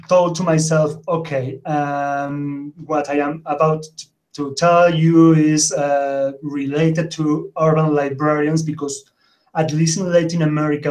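A man's voice: on the high side, rough recording. A man in his forties from Argentina, giving a conference talk over a video call, hesitant and full of fillers. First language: Spanish. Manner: hesitant, many fillers, animated. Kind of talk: remote conference presentation. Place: indoor home room. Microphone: webcam on a video call.

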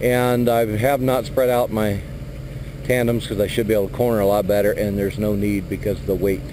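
A middle-aged man, a truck driver, walking around his trailer outdoors in a yard and explaining his work in a relaxed, plain-spoken way into a handheld action camera. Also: fairly low pitch, a little fast. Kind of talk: casual vlog walkthrough. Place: outdoor industrial yard beside a flatbed trailer. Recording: noisy outdoor camera audio.

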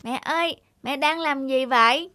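A woman's voice: high voice, normal speed, noisy background, close to an everyday voice. A woman voicing a sweet, devoted little peasant boy in a children's cartoon, with a polite, childlike, pleading manner. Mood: eager. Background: music.

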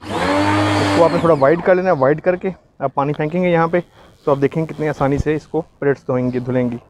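A man's voice: fairly high pitch, fairly even intonation, a little fast, heavy background noise. A man with a Pakistani accent giving an instructional live product demonstration outdoors, explaining as he goes. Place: outdoors, on a street and a rooftop.

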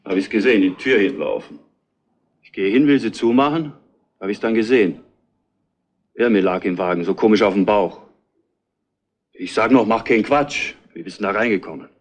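An actor playing a defensive furniture truck driver in a retro crime radio play, speaking haltingly and colloquially. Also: male voice, lowish voice, natural voice. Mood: uneasy, recounting. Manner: halting, colloquial, plain-spoken. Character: defensive working-class furniture truck driver, a murder suspect. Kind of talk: retro German radio crime drama acting.

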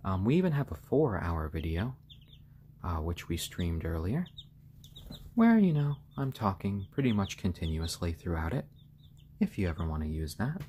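A man with a fairly low, slightly hoarse voice chats casually on a home live stream. His pitch moves around a great deal as he drifts between halting explanation and gentle pet talk. There is clear noise behind him, with birds sounding. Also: sing-song. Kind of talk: casual chat on a live stream, with cooing to pets. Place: room in a home, indoors.

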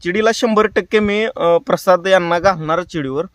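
A man's voice: high voice, moderate pace, a bit bright, no room sound. A man with a rural Maharashtra Marathi accent, hosting prank calls and chatting casually to his viewers with colloquial tag phrases. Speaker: prank call show host.

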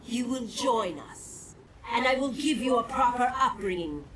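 A woman's voice: on the high side, animated, unhurried, a little hiss or hum, poor audio. Gloating, sadistic insect demon queen. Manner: gloating, menacing, formal. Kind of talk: villain acting in a video game. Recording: dramatic music loud behind the voice.

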